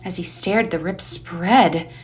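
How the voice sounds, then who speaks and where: A woman's voice: fairly low pitch, slow pace, a little hiss or hum, rough recording. A woman reading dramatic scripted narration for an eerie audio play. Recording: music under the voice.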